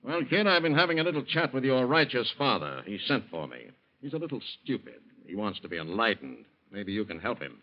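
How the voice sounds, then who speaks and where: A man's voice: mid-range voice, very animated, a little fast. A smug, bullying American racketeer in a vintage radio crime play. He taunts in tough slang, with a sneer and total confidence. The recording is dull and lo-fi, like an old broadcast. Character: cocky scheming crook boss, bullying and sneering. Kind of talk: old-time radio crime drama acting.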